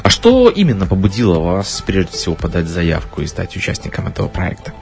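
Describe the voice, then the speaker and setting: A man from Belarus hosting a studio radio interview, pausing often with filler sounds. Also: deep voice, wildly swooping pitch, creaky, darkish tone, faint music behind. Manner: hesitant, frequent filler sounds. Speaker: radio host.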